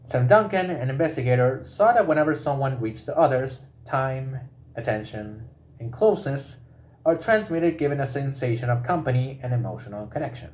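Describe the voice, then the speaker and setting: Man reading informational text aloud, haltingly. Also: mid-range voice, animated, a little mellow. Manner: halting delivery, often breaking off mid-word and repeating it.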